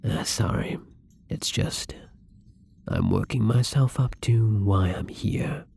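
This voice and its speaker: A man playing a newly turned young vampire in an intimate ASMR roleplay, apologetic and hungry, speaking softly and hesitantly with a husky, breathy voice that often drops to a whisper. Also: on the low side, slow pace, a little hiss or hum. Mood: apologetic, bitter.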